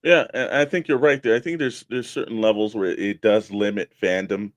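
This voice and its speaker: A man's voice: lowish voice, steady tone, normal speed, dry recording. A man co-hosting a show, chatting casually and giving opinions in a matey, conversational way. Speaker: co-host of a toy collecting show.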